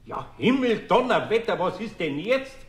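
A man's voice: high-pitched, sing-song, moderate pace. An actor playing an older, grumpy master craftsman with a Bavarian accent, grumbling and exasperated in a children's audio play. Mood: exasperated, angry. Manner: grumbling, muttering to himself. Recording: echoey old audio play with hiss.

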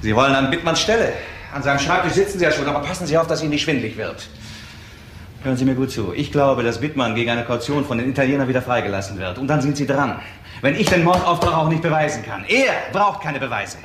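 A man's voice: medium pitch, lively intonation, fast talker, fairly bright tone, natural voice. A man acting a driven, stubborn, blunt police detective in a German crime radio drama. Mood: pressing, menacing. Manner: insistent, blunt. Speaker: actor. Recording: noisy, roomy radio drama recording.

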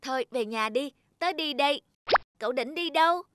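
A woman voicing an earnest, childlike little talking household object in a children's cartoon, with an expressive manner. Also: high voice, moderate pace, noisy background, close to an everyday voice. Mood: polite, friendly. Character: childlike talking cup and flashlight, eager, easily frightened young friends. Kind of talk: dubbed children's cartoon dialogue.

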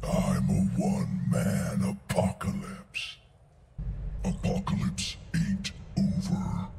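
Hugely gravelly, rock-bottom-deep brawler. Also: male voice, flat delivery, slow pace, roomy sound, noisy background, exaggerated voice. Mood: cocky menace. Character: huge gravelly male brawler, menacing and cocky. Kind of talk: video game character lines.

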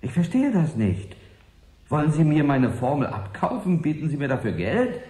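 A man's voice: a little high, huge pitch swings, moderate pace, dark tone, roomy sound. An actor playing a principled, wary and determined scientist in a radio drama, earnest and indignant in his delivery. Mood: suspicious, accusing. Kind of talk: 1980s German radio drama acting.

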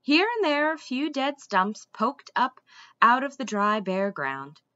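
A young adult woman reading a storybook aloud like a teacher, with deliberate, dramatic phrasing. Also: on the high side, sing-song, sharp tone, dry recording, lo-fi sound. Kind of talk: expressive storybook read-aloud. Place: small room indoors at home.

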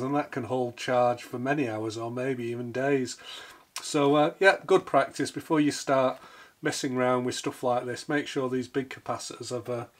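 Croaky man fixing electronics. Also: mid-range voice. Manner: chatty, thinking aloud while working. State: croaky voice from a cold. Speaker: repair video host. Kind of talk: casual repair commentary. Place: home workshop bench.

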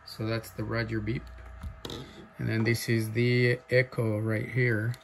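A man with a mid-pitched voice talks slowly and haltingly at a workbench, full of fillers as he walks through the controls. Now and then he raises his voice into short, loud mic-test counts. A faint hum sits under the recording. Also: monotone, vocal fry. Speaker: seller demonstrating a radio. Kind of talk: casual off-the-cuff product demo. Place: indoor workshop bench.

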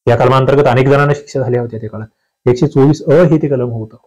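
Male teacher, mid-range pitch, soft-edged warm tone, question-and-answer lecture, repeats key points. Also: steady tone, fast, dull audio. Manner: instructive, repeats key phrases, poses questions to learners. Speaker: exam-prep teacher. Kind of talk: quiz walkthrough lecture, reading questions and explaining answers.

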